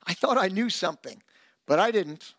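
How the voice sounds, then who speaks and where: Animated high-voiced male pastor preaching. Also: moderate pace, dry recording, clean studio sound. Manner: animated and emphatic, with self-deprecating humor. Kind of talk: sermon.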